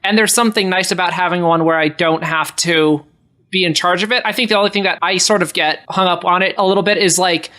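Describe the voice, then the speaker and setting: A bright, forceful voice of middling pitch, co-hosting a podcast. The talk is casual and rambling, heavy on slang and filler words like "like". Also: fairly even intonation, quick pace. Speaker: podcast co-host. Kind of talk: casual group chat.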